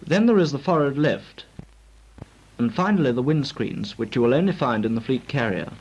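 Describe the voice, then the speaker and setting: Actor as formal British naval instructor, lively pitch. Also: male voice, moderate pace, breathy edge, dull audio. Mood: formal, instructive. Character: brisk, formal male naval flying instructor briefing trainees. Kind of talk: 1940s instructional film lecture. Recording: noisy, crackly 1940s film soundtrack.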